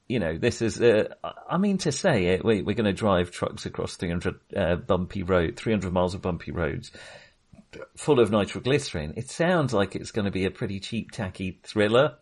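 A man with a husky, slightly low voice co-hosts a film podcast. He talks in a loose, casual way, full of ums and restarts as he works out what he thinks. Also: animated, normal speed. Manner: hesitant, many restarts and ums. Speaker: podcast co-host.

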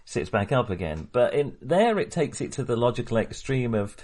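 A man's voice: medium pitch, very animated, moderate pace, a little mellow. A man co-hosting a podcast, talking casually and hesitantly as he discusses films. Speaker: film discussion podcast co-host.

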